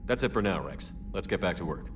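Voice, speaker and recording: A steady human commander from a video game, with a deep voice and short, controlled phrasing. He sounds authoritative and no-nonsense, with clear ship ambience noise behind him. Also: fast talker. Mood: level. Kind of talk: video game character acting.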